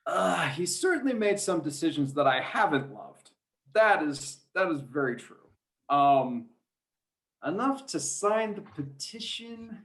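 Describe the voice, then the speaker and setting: A man's voice: a little high, sing-song, measured pace, a bit bright. A man who works as a sports announcer, talking casually as a guest in a hesitant, rambling, good-humored way. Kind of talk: casual chat.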